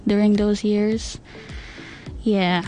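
A teenage girl telling a personal memory as a student guest, speaking softly with hesitant pauses. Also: mid-range voice, little pitch movement, faint music behind, rough recording. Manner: soft, hesitant, slow pauses. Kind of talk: personal storytelling.

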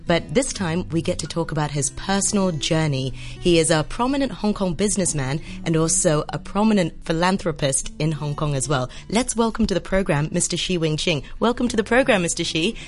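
A woman hosting a radio interview, introducing and questioning a guest. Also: low voice.

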